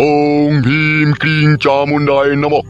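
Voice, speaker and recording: A man's voice: completely flat delivery, unhurried, highs cut off, lightly acted. Man playing a wrathful chanting cartoon hermit. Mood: casting spells. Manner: booming mantra chanting, scolding, archaic insults. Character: wrathful male holy hermit chanting spells and curses. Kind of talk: comic cartoon dubbing. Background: music.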